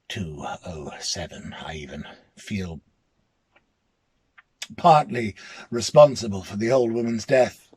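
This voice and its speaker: An actor performs a first-person tale at a slow, deliberate pace. His voice is husky and breathy. He halts and repeats words as he goes, in a dramatic storytelling style. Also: sits low, darkish tone. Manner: hesitant, halting, repeating words.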